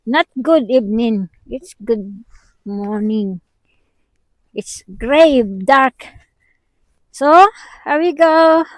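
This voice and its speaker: A woman with a Filipino accent, a native Tagalog speaker, giving a chatty, halting travel-vlog tour outdoors by a windy harbor. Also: fairly high pitch, animated, no echo, low-quality recording. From Philippines. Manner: chatty, halting, mixes in Tagalog, laughs often. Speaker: vlogger.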